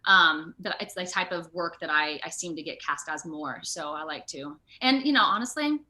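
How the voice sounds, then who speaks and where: An American woman talking casually in an interview over a video call, with an animated, rambling, self-deprecating manner. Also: sits low, moderate pace, low-quality recording. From Ohio, United States. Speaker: actor being interviewed.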